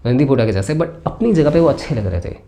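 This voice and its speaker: A man with a mellow, relaxed voice and an Indian accent talks through a film review. He explains his points conversationally as the host, slipping into English phrases along the way. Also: medium pitch, fast, vocal fry, a little hiss or hum.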